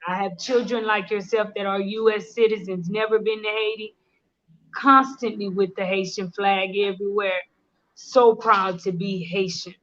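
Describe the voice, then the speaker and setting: A woman's voice: mid-range voice, steady tone, normal speed, a bit bright, dull audio, a little hiss or hum. A middle-aged woman hosting a casual live-stream chat, talking earnestly and switching between English and Creole. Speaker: host.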